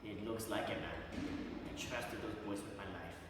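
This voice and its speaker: A man's voice: on the low side, normal speed, background noise, lightly acted. A young man in a student video playing a streetwise ex-con drug dealer who swaggers and swears casually. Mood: gruff. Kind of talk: student amateur video acting. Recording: echoey room, far from the mic, with music.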